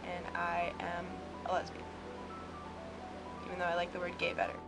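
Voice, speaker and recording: A woman's voice: fairly low pitch, completely flat delivery, moderate pace, poor audio. A woman in her late teens, a student giving informal answers in an interview. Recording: loud music under the voice.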